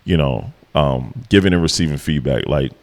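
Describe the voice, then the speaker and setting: A man co-hosting a podcast, with a deep voice that carries, talking in a relaxed, rambling way with lots of fillers. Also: moderate pace. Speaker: podcast co-host. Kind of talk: casual chat.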